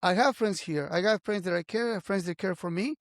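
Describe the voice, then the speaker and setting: A man's voice: high voice, a little fast, no echo, studio quality. A man from Argentina with an Argentine Spanish accent, a native Spanish speaker hosting a podcast, talking earnestly in slightly broken English. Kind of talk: casual conversation.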